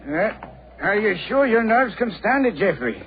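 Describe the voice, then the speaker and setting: A man's voice: sits high, sing-song, moderate pace. An actor playing a nervous, hesitant businessman in a theatrical 1940s radio drama style. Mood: uneasy. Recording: muffled, noisy 1940s radio broadcast.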